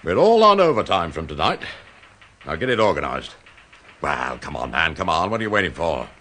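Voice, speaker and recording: A self-important older department head in a radio comedy. His voice is low and rough-edged with a forceful bite, swinging wildly in pitch as he blusters and bosses his staff. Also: sing-song, fairly quick, a little hiss or hum, exaggerated voice. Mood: bossy. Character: pompous, vindictive, petty male department head, older. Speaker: office department manager. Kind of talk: British radio comedy drama acting.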